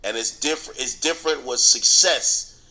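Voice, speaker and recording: A man's voice: mid-range voice, normal speed, crowd noise, low-quality recording. A man giving casual, slangy commentary on celebrity gossip, bluntly and with repeated phrases for emphasis. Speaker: online commentator and host.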